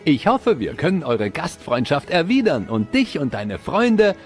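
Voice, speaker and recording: A suave rival race car in an animated film. The voice sits fairly high and moves expressively, courteous with a playful competitive streak, with light music behind. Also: male voice, measured pace. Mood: gracious. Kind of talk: German-dubbed animated cartoon dialogue.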